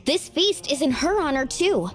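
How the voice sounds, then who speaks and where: A woman's voice: high voice, lively intonation, normal speed, faint music behind. A woman voicing an upbeat, impulsive teenage adventurer girl in an anime-style video game, breezy and enthusiastic. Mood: cheerful, considerate.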